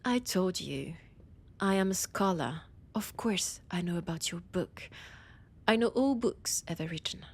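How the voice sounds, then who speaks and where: A woman playing a condescending, teasing vampire scholar in an audio roleplay, with a French accent and a breathy, husky voice that often drops to a whisper. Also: fairly low pitch, animated, measured pace, full character voice. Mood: ominous, proud. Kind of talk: scripted audio roleplay addressed to the listener.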